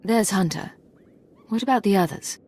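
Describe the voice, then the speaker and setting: A woman's voice: mid-range voice, expressive, moderate pace, a little mellow, close to an everyday voice. An actress playing a self-possessed, probing female secret agent in a video game, with a cool manner. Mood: cool, inquisitive. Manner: cool, questioning.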